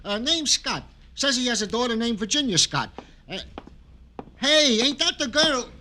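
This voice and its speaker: An actor playing an eager, excitable police sidekick in a 1950s radio drama, folksy and breezy. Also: male voice, extremely high pitch, moderate pace, a little hiss or hum, heavily acted. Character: eager, excitable sidekick bringing news to the detective. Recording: vintage radio recording with music.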